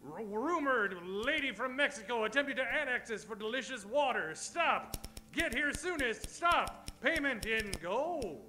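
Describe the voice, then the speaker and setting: A man's voice: very high-pitched, expressive, a little slow, bright, forceful tone, heavily acted. A man acting a boastful, gold-hungry cowboy hero in an old-time radio western comedy, with a hammy, declamatory delivery. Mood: pondering, declamatory.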